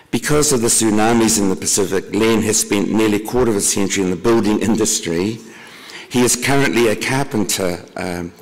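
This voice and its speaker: Deadpan New man in echoing hall. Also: fairly low pitch. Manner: dry deadpan humor, frequent throat clearing. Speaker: conference MC introducing speakers. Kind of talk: plenary session introduction. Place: conference hall. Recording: long hall reverb.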